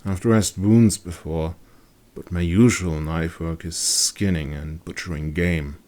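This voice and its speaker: A man voicing a grim veteran monster hunter in a dark fantasy story, speaking gravely and honestly. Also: low-pitched, steady tone. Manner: grave, hushed, deliberate.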